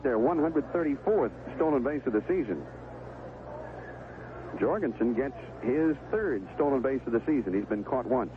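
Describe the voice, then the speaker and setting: A man's voice: fairly high pitch, phone-quality sound, noisy background. An American man calling baseball play-by-play in a live radio commentary style, on an old 1970s broadcast. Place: stadium broadcast position.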